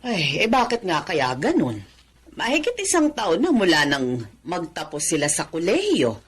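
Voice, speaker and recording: A woman acting in a radio drama as a concerned middle-aged mother, husky and inquisitive, often exclaiming. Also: fairly low pitch, wildly swooping pitch, moderate pace, exaggerated voice.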